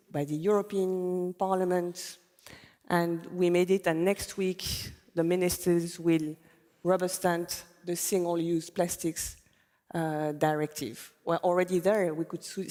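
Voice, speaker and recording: A woman policy official speaking on a conference panel, explaining in a measured way. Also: fairly low pitch, darkish tone. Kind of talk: panel discussion on stage. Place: conference stage in a large hall. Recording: long hall reverb.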